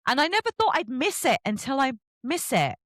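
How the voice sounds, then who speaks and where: A middle-aged woman, hosting a casual, chatty interview and telling self-deprecating stories in an animated way. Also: high voice, a little fast, no echo, clean studio sound. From England. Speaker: podcast host interviewing an author.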